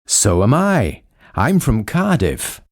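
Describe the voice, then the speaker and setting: A man reading lines of English course dialogues in an expressive, sing-song way. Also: mid-range voice, takes it slowly. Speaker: dialogue reader in a language course. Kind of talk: slow, clearly read textbook dialogue.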